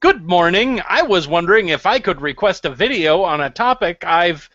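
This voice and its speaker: A man's voice: sits high, expressive, normal speed, bright, forceful tone. A man co-hosting a podcast, teasing the others and laughing easily. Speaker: podcast co-host. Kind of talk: casual banter. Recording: dull lo-fi audio.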